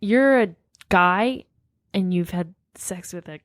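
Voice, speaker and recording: A woman's voice: lowish voice, slow, dry recording, clean studio sound. A woman co-hosting with casual comedic banter, brash, crude and playfully dramatic in how she talks. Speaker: podcast co-host.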